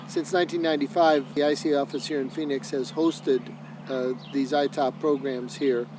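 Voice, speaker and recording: A man who works as a senior associate and lead trainer, explaining his program in a measured way with frequent pauses. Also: medium pitch, background noise. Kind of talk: explanatory documentary interview.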